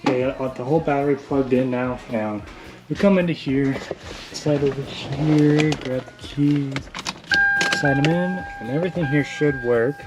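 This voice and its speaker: A man's voice: mid-range voice, normal speed, very noisy. A man talking casually and informally through a hands-on how-to, rambling a little as he explains. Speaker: hobbyist presenter of a how-to video. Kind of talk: casual hands-on tutorial talk.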